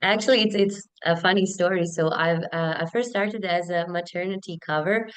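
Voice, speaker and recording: A woman's voice: fairly low pitch, moderate pace, clean tone. A woman in her thirties with a Bosnian accent, giving earnest, wordy conversational answers as a webinar guest. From Bosnia and Herzegovina. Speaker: HR business partner, webinar guest.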